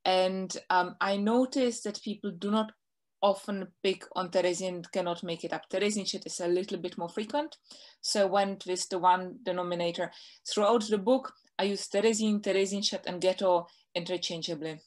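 A woman's voice: medium pitch, steady tone, moderate pace. A woman in her forties with a Czech accent, a historian talking thoughtfully as the guest in a webinar interview over a video call from a home study. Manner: thoughtful, articulate, gestures while talking.

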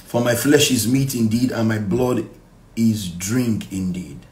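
A middle-aged Nigerian man leading a live Bible study as pastor, reading verses and explaining them emphatically and deliberately, with repeated phrases and rhetorical questions. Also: on the low side, darkish tone. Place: small indoor room. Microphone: phone at arm's length. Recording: echoey room, faint background noise.

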